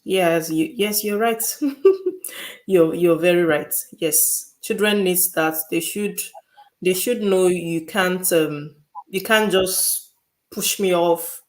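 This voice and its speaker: A woman co-hosting a live-streamed conversation, agreeable and welcoming viewers by name. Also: lowish voice. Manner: slow, agreeable, greets viewers. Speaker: co-host of a live session.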